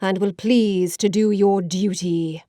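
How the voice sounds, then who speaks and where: A woman's voice: medium pitch, steady tone, slow, mellow, dry recording, studio quality, close to an everyday voice. A woman playing a harsh, unbending middle-aged spinster in a dramatised audiobook, making emphatic, disapproving pronouncements. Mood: curt, disapproving.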